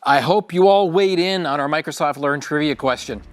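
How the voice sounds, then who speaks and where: A man's voice: on the high side, normal speed, faint music behind. A man co-hosting a live tech event stream, easygoing and chatty, with plenty of fillers. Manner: easygoing, filler-heavy chat. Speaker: event co-host.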